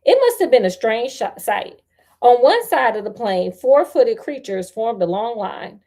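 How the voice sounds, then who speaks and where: A woman's voice: fairly high pitch, sing-song, unhurried, mellow. An American woman reading a storybook aloud as host, with sassy, conversational asides to her listeners. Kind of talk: storybook read-aloud with casual running commentary.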